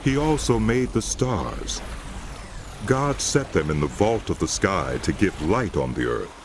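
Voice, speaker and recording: A man narrating dramatized scripture in a solemn, deliberate storytelling manner. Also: low voice, very animated, noisy background. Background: music.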